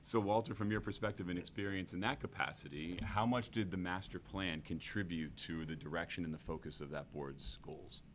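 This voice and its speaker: A man on a town select board, asking a guest questions at a public meeting in a deliberate, listing way. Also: low voice. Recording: noisy meeting room audio.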